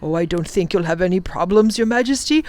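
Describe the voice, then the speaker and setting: A woman's voice: a little high, very animated, measured pace, voice pushed up. Woman reading as a wise old professor. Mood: reassuring. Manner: warm, reassuring, firm. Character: kind wise elderly male professor.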